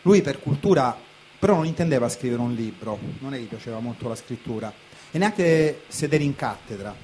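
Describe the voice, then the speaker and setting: A man in his late thirties delivering a conversational spoken theatre monologue, pausing and pressing on certain words. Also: mid-range voice, expressive, mellow, natural voice. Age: late 30s. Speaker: stage performer, writer. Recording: clearly noisy live recording.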